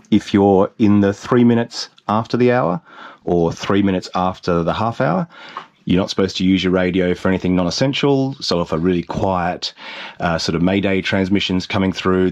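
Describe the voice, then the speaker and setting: Man, forties, lowish voice, casual host, practical instruction. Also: expressive, normal speed, a little mellow, dull audio. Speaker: channel host.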